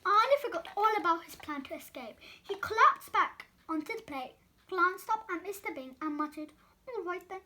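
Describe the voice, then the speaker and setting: A woman's voice: very high voice, expressive, moderate pace, sharp tone. A woman storyteller reading a children's picture book aloud with expression.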